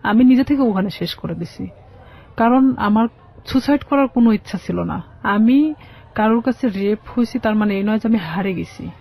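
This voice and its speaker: Low, creaky Bangladeshi woman, muffled sound. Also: moderate pace. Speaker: guest telling her life story. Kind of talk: personal testimony in interview.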